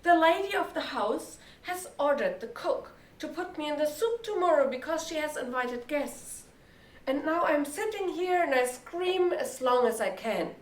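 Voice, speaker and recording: Lady doing a desperate loud rooster for a story, way high, away from the mic. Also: lively intonation, moderate pace, reverberant, distant mic. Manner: crowing, exclaiming. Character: loud excitable male rooster, desperate and shrill.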